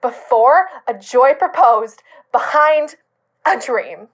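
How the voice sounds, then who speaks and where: Slow, high-voiced woman acting an anguished, bitter woman. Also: lively intonation. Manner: halting, deliberate, weighted phrasing. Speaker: student drama performer. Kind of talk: Shakespeare sonnet as dramatic monologue.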